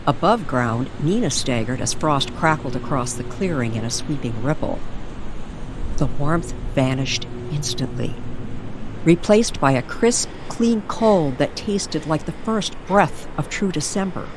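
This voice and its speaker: A woman reading dramatic scripted narration in an old-time radio storytelling style, deliberate and suspenseful, with long pauses. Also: low voice, lively intonation, background noise. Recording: music behind the voice.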